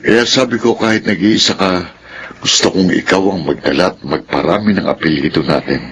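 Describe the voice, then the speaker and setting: Middle-aged Filipino dad, low voice, doting and nagging, radio drama, faint noise. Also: male voice, a little fast, a bit bright. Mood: coaxing, fatherly. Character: doting, gently nagging middle-aged father of a grown son.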